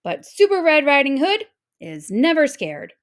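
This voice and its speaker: A woman's voice: high voice, wildly swooping pitch, measured pace, voice pushed up, full character voice. A woman voicing a brave, self-important little girl who plays at being a superhero in a storybook. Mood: proud, bold. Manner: bold, self-important, declaiming. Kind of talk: storybook read-aloud character.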